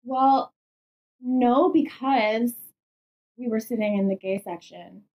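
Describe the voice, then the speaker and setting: Upbeat giggly woman hosting a podcast, slightly high voice, chatting from a bedroom. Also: slow, highs cut off. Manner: giggly, upbeat, laughs often. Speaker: podcast host. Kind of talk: casual podcast chat.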